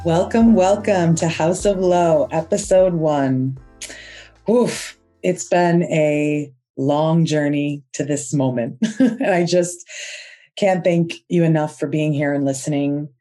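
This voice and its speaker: A woman's voice: low voice, faint music behind. A woman hosting her own podcast, talking in an earnest, heartfelt way and stretching out the words she stresses. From United States. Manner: earnest, soft-spoken and heartfelt, drawn-out emphasis. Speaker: podcast host. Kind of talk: inspirational podcast monologue.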